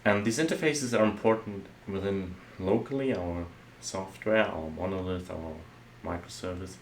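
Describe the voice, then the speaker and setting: A man who grew up speaking German, a software architect giving a relaxed, loosely worded talk over his slides, with many fillers. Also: low voice, normal speed, a little hiss or hum.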